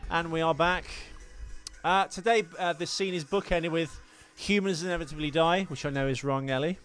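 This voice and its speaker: A man's voice: a little high, fairly even intonation, normal speed, fairly bright tone, faint music behind. A man hosting a casual film podcast, bantering in a sweary, self-deprecating way. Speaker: podcast host.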